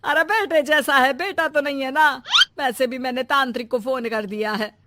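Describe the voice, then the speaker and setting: A woman's voice: high-pitched, normal speed, heavy background noise, natural voice. A woman playing a scheming old mother-in-law and grandmother in a moral-story cartoon, scolding and sarcastic. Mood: accusing.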